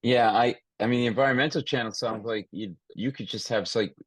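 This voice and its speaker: A man hosting a casual podcast interview, talking in an animated, rambling way and often restarting his sentences. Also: mid-range voice, fairly quick, husky, dry recording. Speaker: podcast host, comedy writer.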